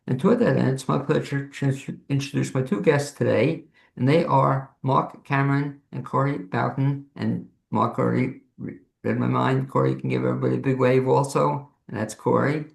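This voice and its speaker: A man with a very creaky, gravelly voice hosts an interview in a conversational way. His pitch is average for a man, and the room echoes around him over a video call. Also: fairly even intonation, moderate pace, few pauses. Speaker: interview show host. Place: home office studio, on a video call.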